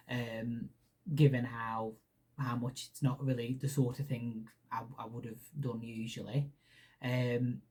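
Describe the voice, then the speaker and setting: A man's voice: mid-range voice, warm tone, breathy edge. A young man from Hungary with a Hungarian-Lancashire accent, hosting a casual podcast and rambling with lots of restarts and ums. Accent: Hungarian with Lancashire English. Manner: rambling, many restarts and ums, laughs shyly. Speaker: podcast host. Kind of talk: casual solo podcast chat.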